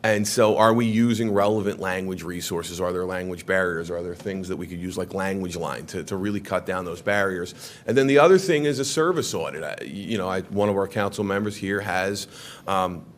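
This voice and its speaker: A man's voice: low voice, a little fast. A man on city staff giving an earnest presentation to a city council, with emphatic rises and frequent restarts. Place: council chamber.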